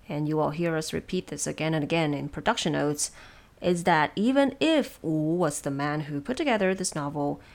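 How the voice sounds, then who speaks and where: A woman with a low voice hosts an explanatory segment for an audio series. She speaks from prepared notes in a relaxed, chat-like style, addressing listeners directly and setting out each point in a measured way. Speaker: podcast host and narrator of production notes.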